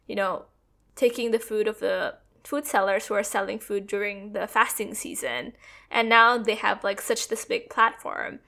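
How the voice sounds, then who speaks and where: A woman's voice: a little high, creaky, sharp tone. A woman co-hosting a podcast, explaining politics in long, earnest stretches of casual talk. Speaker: podcast co-host.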